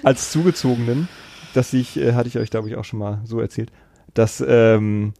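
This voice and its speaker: Mellow, creaky-voiced man hosting. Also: mid-range voice, steady tone, normal speed, no echo, studio quality. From Kiel, Germany. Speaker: podcast host. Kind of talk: casual chat.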